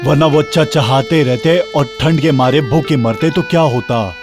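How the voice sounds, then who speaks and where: An actor playing a callous, smug mole in a children's fairy tale cartoon, dismissive and self-satisfied. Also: male voice, on the high side, over music, lightly acted. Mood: smug, scornful.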